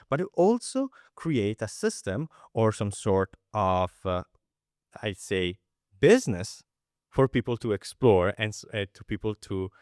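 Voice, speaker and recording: A young man from Italy hosts a podcast conversation with a lively, swooping pitch. He talks with eager enthusiasm, rambling through fillers and restarts. The sound is close and dry. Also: no echo. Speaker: podcast host. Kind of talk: casual interview chat.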